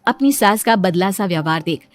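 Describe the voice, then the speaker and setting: A woman's voice: mid-range voice, expressive, normal speed, faint music behind. A woman reading scripted story narration, telling a family tale as a narrator.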